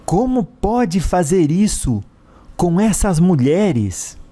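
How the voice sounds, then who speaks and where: A man's voice: high voice, big pitch swings, takes it slowly, mellow, voice pushed up, full character voice. Man voicing an emphatic, compassionate Brazilian woman, Maria. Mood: shocked, indignant. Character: distraught, compassionate, indignant woman named Maria.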